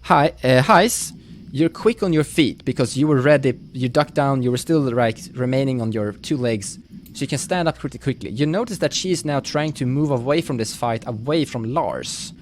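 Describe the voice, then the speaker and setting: A man running a tabletop roleplaying game, narrating the action off the cuff in a halting, thinking-aloud way. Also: mid-range voice. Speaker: game master. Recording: faint noise, live stream audio.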